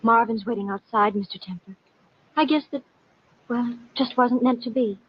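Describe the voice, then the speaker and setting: An actress playing a sentimental, lovestruck bride-to-be in a gushing 1950s radio drama style. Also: female voice, fairly high pitch, normal speed, slightly rough. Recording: muffled old 1950s radio broadcast.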